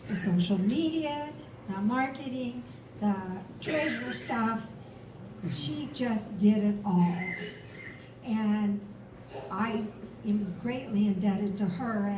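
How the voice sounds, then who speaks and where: A woman hosting an event, introducing the next speaker in a measured, grateful way. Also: medium pitch. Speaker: event host. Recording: echoey hall from far off, noisy.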